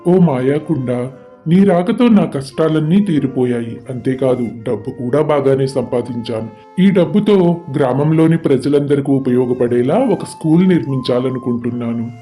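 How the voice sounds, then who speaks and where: A man's voice: mid-range voice, normal speed, mellow, soft background music, close to an everyday voice. A man playing a kind, humble village farmer with a polite, friendly manner in a children's cartoon story. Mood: grateful.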